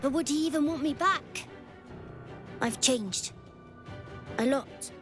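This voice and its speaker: High-voiced, lonely spirit child. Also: female voice, takes it slowly, over music. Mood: wistful, resolving. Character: lonely, wistful child spirit, solemn and stubborn. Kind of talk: video game character dialogue.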